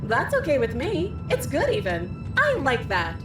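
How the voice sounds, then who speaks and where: A woman's voice: high voice, huge pitch swings, measured pace, echoey room, distant mic, over music, heavily acted. A woman playing a murderous, taunting princess in a horror game, gleeful and menacing. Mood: gleeful menace. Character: murderous, taunting princess who relishes violence.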